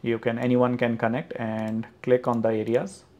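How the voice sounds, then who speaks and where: Man calmly walking through a website. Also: medium pitch, moderate pace. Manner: steady explanatory, occasional uh fillers. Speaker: flight sim tutorial presenter. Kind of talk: screen-recorded website walkthrough.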